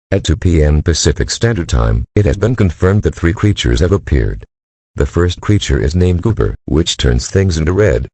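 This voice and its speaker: A man's voice: deep voice, a little mellow, slightly rough, no room sound. A man reading a scripted emergency alert in a formal, impersonal, mechanical manner.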